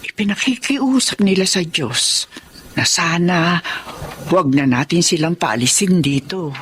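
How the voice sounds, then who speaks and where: A woman's voice: fairly low pitch, a little slow, noisy background, natural voice. An actress playing a tender elderly Filipino mother in a radio drama. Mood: tender, touched. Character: tender elderly mother and grandmother, easily touched.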